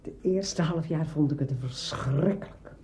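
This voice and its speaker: A man's voice: fairly high pitch, measured pace, noisy background. A man in his eighties with a Netherlands Dutch accent, a veteran radio actor telling juicy, theatrical anecdotes in conversation. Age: 80s. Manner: juicy, theatrical storytelling with acted-out dialogue. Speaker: veteran radio drama actor being interviewed.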